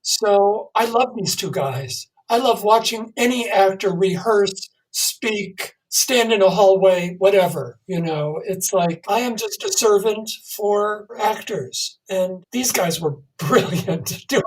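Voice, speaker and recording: A man's voice: high-pitched, takes it slowly, few pauses. A man chats as a guest in an interview. His manner is modest and appreciative, and he sounds a little distant from the microphone. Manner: self-deprecating, appreciative. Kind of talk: casual interview talk.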